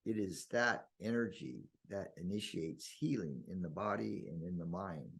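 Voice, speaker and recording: A man leading a guided meditation in slow, deliberate phrases with long pauses and a husky, gravelly voice. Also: deep voice, lively intonation, fairly bright tone.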